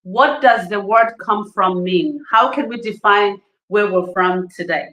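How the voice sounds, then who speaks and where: Earnest woman from Uganda, bright tone. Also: medium pitch, a little slow, reverberant, poor audio. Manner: earnest, reflective, repeats phrases for emphasis. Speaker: panel guest. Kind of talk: interview answers.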